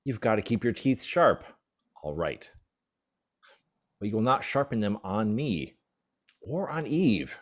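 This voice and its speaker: Radio play: wry boyfriend, fed up and teasing, very animated intonation. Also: male voice, fairly low pitch, unhurried, pauses often. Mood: angry, firm. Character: exasperated, wry boyfriend of a stage star.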